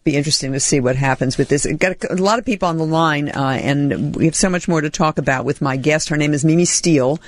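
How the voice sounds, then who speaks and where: A woman hosting a talk radio show in a studio, brisk and animated, with sudden jumps in pitch. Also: no echo. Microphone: studio microphone.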